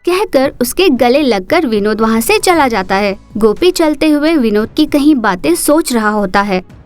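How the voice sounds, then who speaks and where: A woman narrating a cartoon story in a steady, expressive storytelling manner. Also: on the high side, measured pace, faint music behind.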